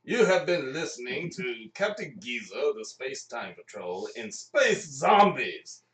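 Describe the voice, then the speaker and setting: A man's voice: medium pitch, very animated, unhurried, fairly bright tone, recorded from a distance. A man hosting a live stream in a folksy, chatty, casual way. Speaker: host and narrator of live stream. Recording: echoey room, off the mic.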